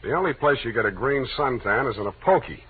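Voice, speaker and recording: An actor playing a hard-boiled, cynical private detective with a dry, sardonic, slangy delivery in a 1940s radio drama. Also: male voice, mid-range voice, expressive, fast, close to an everyday voice. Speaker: lead character and narrator. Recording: noisy, narrow-band old radio recording.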